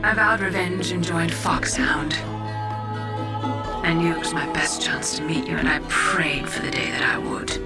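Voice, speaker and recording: A woman acting a guilt-laden, vengeful scientist in a video game, speaking in a measured, grave, deliberate way. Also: fairly low pitch, natural voice. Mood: bitter, vengeful. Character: guilt-laden, vengeful female scientist confessing her past. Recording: loud music behind the voice. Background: loud dramatic game score.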